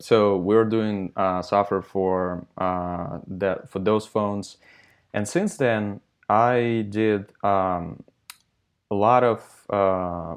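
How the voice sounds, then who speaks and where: A man, answering interview questions with many fillers. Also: low voice. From Russia. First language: Russian. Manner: halting, lots of fillers. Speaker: guest, software company founder.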